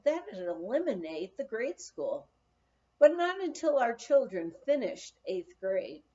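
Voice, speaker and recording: A woman narrates a memoir at an unhurried pace, in a mellow, soft-edged voice. Her intonation moves widely as she tells the story in an expressive, deliberate way. The audio sounds slightly muffled. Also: mid-range voice. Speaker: audiobook reader. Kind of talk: scripted memoir narration, read aloud.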